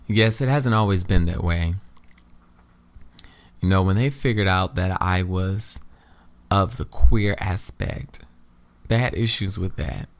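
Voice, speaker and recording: Man playing a deep-voiced, tender boyfriend. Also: slow, long pauses, no echo. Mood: understanding. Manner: earnest, reassuring. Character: gallant, tender boyfriend AJ, confident and protective. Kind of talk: romantic audio drama dialogue.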